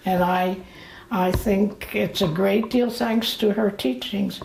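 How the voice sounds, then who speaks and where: An elderly woman in her late eighties recalls the past slowly, in a gravelly voice. She halts often and fills the gaps with pauses. The room echoes around her. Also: medium pitch, fairly even intonation, a little mellow, slight background noise. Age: late 80s. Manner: halting, with frequent fillers and pauses. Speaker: interviewee. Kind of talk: slow, reflective memories. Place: indoors. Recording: roomy echo.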